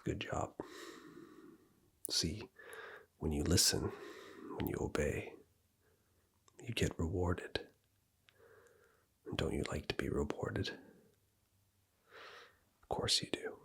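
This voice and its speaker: Whispering deep-voiced man giving commands. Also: slow pace, creaky, pauses often, fairly bright tone, slightly rough. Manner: commanding and teasing, giving orders and points. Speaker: ASMR roleplay creator. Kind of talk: whispered ASMR roleplay.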